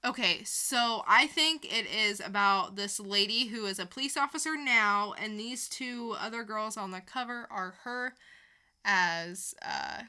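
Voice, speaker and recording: Bright-voiced, unhurried woman on a podcast. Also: mid-range voice, clean tone. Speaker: podcast co-host. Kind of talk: casual banter and quiz chat.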